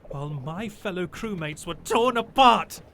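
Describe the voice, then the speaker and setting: An actor playing a furious, betrayed sailor in a horror audio drama, seething and accusing, with ship sound effects behind him. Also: male voice, high-pitched, expressive, measured pace, a little hiss or hum.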